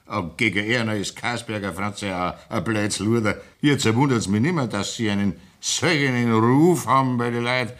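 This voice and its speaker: A man with a Bavarian accent playing a jovial, flattering farmer in a 1960s radio play. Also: lowish voice, normal speed, few pauses, close to an everyday voice.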